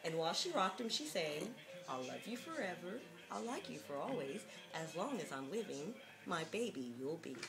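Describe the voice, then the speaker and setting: A woman's voice: fairly low pitch, big pitch swings, normal speed, over music. A woman giving a mock-dramatic reading of a children's picture book, with jokey, sardonic, giggly asides, on an amateur home video.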